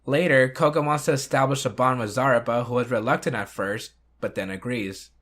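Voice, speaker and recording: A man's voice: mid-range voice, brisk, a bit bright. A man hosting a retrospective video, reading scripted recap and review narration.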